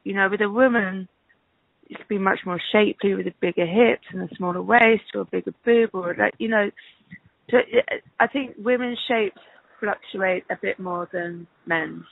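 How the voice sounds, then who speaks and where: Female interview guest, gravelly fry, hesitant and conversational, heard down a narrow telephone line. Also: mid-range voice, moderate pace, dry recording. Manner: hesitant, thinking aloud. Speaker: interview guest, casting director. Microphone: telephone call. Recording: tinny, band-limited phone line.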